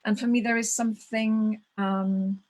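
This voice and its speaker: A woman's voice: mid-range voice, unhurried. A woman from Wales, speaking thoughtfully on a video call as a guest, often restarting her phrases. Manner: thoughtful, restarts phrases, steady even delivery. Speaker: guest speaker on ritual tradition. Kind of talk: reflective conversation.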